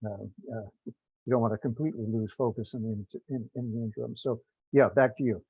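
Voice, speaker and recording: A man taking part in an informal online meeting, speaking in a hesitant, halting way. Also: mid-range voice, steady tone, husky, no echo. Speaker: meeting participant. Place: online conference call. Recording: dull, lo-fi call audio.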